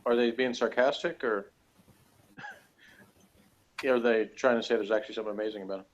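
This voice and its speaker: A man's voice: mid-range voice, moderate pace, a little hiss or hum. A man in casual conversation, talking in an offhand, sardonic way. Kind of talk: casual chat. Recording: dull lo-fi recording.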